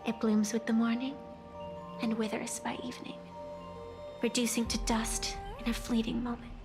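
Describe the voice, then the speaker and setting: A woman acts out a rabbit-eared fey arbitrator from an anime game. The voice is high and girlish, with a grandiose, riddling manner that is both aloof and playful. Music plays clearly underneath. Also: little pitch movement, normal speed, close to an everyday voice.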